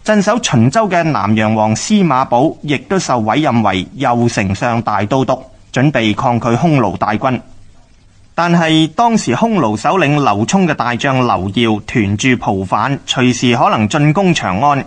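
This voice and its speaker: Animated male narrator of history. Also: mid-range voice. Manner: formal, measured storytelling. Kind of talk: scripted historical documentary narration.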